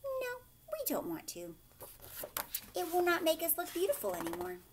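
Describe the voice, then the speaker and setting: A vain, reluctant storybook horse voiced by a woman objects fussily. The voice is high and animated, with emphatic delivery. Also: moderate pace, gravelly, noisy background, heavily acted. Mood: refusing.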